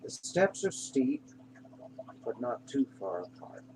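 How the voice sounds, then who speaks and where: A man narrating a guided meditation in an unhurried, reassuring manner, pausing between short phrases. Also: medium pitch, very animated. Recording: clear background hum and noise.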